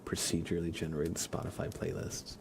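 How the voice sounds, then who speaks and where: A man hosting an ASMR livestream, chatting softly and casually in a hushed, unhurried way that often slips into whispering. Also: low voice, flat delivery, gravelly, noisy background. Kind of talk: soft ASMR livestream chat.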